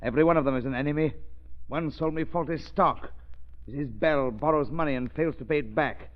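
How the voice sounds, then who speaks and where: A man's voice: on the high side, a little fast, close to an everyday voice. A man playing a bitter vengeful millionaire. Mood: resentful. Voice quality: cold, resentful, commanding. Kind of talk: 1930s radio drama. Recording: muffled old radio recording.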